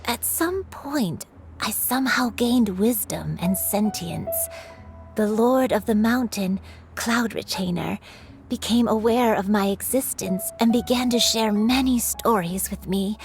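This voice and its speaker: A woman's voice: on the high side, unhurried, soft background music. An actress voicing an elderly grandmother who is secretly a crane spirit, speaking tenderly and with remorse. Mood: remorseful. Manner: tender, sorrowful, confessional. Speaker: game character. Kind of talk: dubbed game dialogue.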